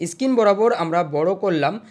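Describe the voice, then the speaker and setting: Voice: medium pitch, expressive, moderate pace, darkish tone. A tutorial host narrating screen steps in an instructional way, repeating each step before moving to the next.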